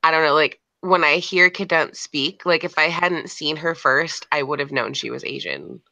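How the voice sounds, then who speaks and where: Bright-voiced speaker hedging in casual chat. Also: mid-range voice, normal speed, vocal fry, no room sound. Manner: hesitant, many "like" fillers.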